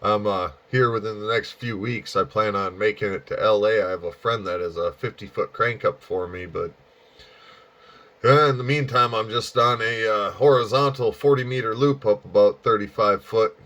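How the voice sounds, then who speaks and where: Halting man chatting casually, dull sound. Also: lowish voice, fairly bright tone. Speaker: amateur radio operator. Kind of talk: casual radio contact chat.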